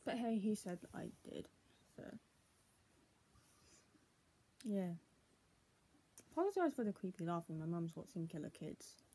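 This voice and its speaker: Young female vlogger, very mellow and muffled, husky, home-recorded on a tablet. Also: lowish voice, big pitch swings, a little slow, long pauses. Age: young adult. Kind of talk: casual home vlog, partly reading aloud. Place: small room at home, indoors. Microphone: tablet built-in mic at arm's length.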